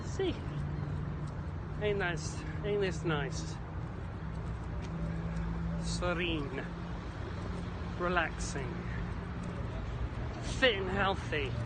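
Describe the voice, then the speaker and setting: A man's voice: sits high, animated, very slow, long pauses. A British man from the Isle of Man hosts a rambling walking vlog, chatty and jokey with quaint slang, a little out of breath as he goes. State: slightly out of breath from brisk walking. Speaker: vlog host. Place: outdoors along a city creek. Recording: noisy outdoor recording, city traffic ambience.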